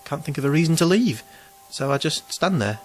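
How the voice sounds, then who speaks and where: A man's voice: fairly high pitch, expressive, brisk, soft background music, natural voice. An actor playing an anxious, self-pitying man in his twenties, hesitant and rambling, in an audio drama. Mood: uneasy, confiding. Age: 20s.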